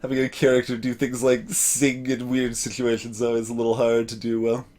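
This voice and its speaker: A man giving casual let's play commentary and reading dialogue, haltingly thinking aloud. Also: mid-range voice, monotone, darkish tone. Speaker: let's player voicing game characters. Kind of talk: casual gameplay commentary mixed with read dialogue.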